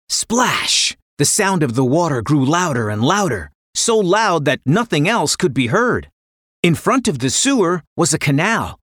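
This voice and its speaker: A woman narrating a scripted children's story in an expressive, dramatic way. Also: on the low side, no room sound, clean studio sound.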